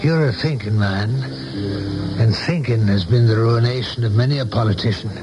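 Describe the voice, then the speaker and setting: An actor in a 1970s radio play, playing an older saloon keeper who is folksy, shrewd and philosophical with a loyal friend. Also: male voice, medium pitch, normal speed, natural voice. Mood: folksy, probing. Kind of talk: 1970s radio drama acting. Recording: dull vintage radio broadcast, music behind.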